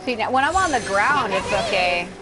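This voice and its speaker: A woman's voice: medium pitch, animated, moderate pace, music in the background. A woman livestreaming a video game with casual, rambling commentary, blunt and complaining, swearing freely.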